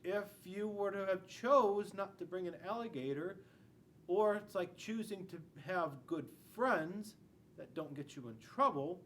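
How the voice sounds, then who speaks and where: A man's voice: unhurried, fairly bright tone. An American man in his forties reads a children's picture book aloud dramatically, putting questions to the listening children. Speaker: school resource police officer. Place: school classroom, indoors. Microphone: camera a few feet away. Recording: noticeable room noise with low rumble.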